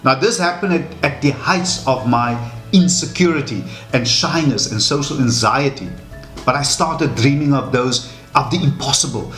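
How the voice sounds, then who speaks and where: A man's voice: fairly high pitch, a bit bright. Earnest man, echoey room. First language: Afrikaans. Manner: earnest, measured, with frequent repeated words. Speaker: channel host. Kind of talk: reflective personal talk to camera. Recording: echoey room with faint music.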